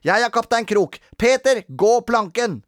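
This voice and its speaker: A man voicing a mischievous, defiant young boy in a children's story, cheeky and quick to protest. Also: sits high, lively intonation, fairly bright tone, dry recording, studio quality, pitched above the performer's own voice. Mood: eager, defiant.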